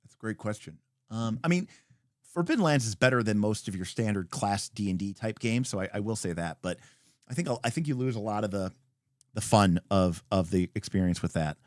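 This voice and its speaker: A man hosts a casual livestream with very lively, swinging intonation. He rambles and thinks aloud, then lands his opinions with emphasis. The recording is close and dry, with little sense of a room around him. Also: lowish voice, brisk, no echo. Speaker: livestream host. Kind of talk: casual unscripted livestream chat; reading and answering viewer comments.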